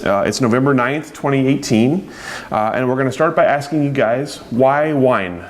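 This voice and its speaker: A man conducting an oral history interview, asking questions in a relaxed, friendly way. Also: medium pitch, normal speed.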